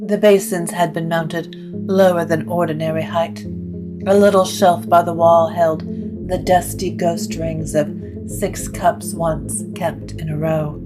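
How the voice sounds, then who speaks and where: A woman narrating a scripted gothic story in a measured, literary way. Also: fairly low pitch, little pitch movement, music in the background, rough recording.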